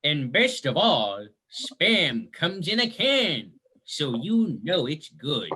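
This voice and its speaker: A man playing a doddery old grandpa in a mock old-time radio advert, folksy and drawn-out. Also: on the high side, very animated. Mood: pleased. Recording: very noisy recording with clicks.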